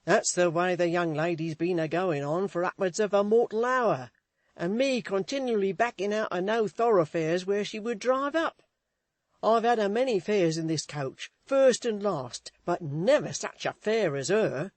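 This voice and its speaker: A reader voicing a gruff, exasperated male coach driver who complains in a growling, rustic way. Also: mid-range voice, normal speed, no room sound, pitched above the performer's own voice, lightly acted. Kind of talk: audiobook character voice.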